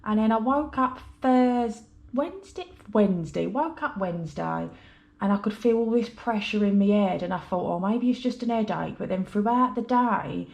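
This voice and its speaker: A woman's voice: mid-range voice, moderate pace, vocal fry, darkish tone. A British woman with a blocked-up nose from a head cold, chatting casually as she counts cash for her budget vlog. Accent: British English. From United Kingdom. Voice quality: chatty, rambling, apologetic. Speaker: budgeting vlogger.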